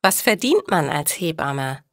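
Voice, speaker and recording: A woman's voice: sits low, sing-song, unhurried, fairly bright tone, no room sound, studio quality, heavily acted. A woman narrator voicing an eager schoolgirl niece conducting an interview in storybook dialogue for language learners. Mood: curious.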